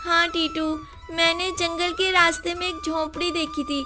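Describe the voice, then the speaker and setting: A woman playing a brave, caring poor orphan girl in an animated moral story, earnest and hopeful. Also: extremely high pitch, normal speed, voice pushed up. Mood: reassuring, determined. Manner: earnest, reassuring. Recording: music behind the voice.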